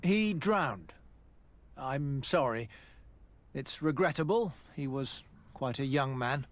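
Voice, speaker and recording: An actor playing a courteous, matter-of-fact military doctor and scholar in a video game, speaking formally and precisely. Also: male voice, mid-range voice, lively intonation, slow. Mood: regretful, matter-of-fact. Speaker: army doctor. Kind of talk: video game dialogue acting.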